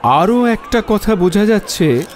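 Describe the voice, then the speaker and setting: An actor playing a polite, sharp, self-assured amateur detective in an audio drama, speaking in a measured, quietly probing way. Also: male voice, high-pitched, very animated, a little hiss or hum. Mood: reasoning, assured.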